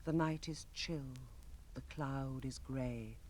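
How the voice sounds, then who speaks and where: A woman giving a theatrical recitation of gothic narrative verse, telling it as a story that moves between hushed and ringing delivery. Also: rumbling low, slow pace. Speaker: poetry reader. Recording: close, dry 1960s spoken-word record.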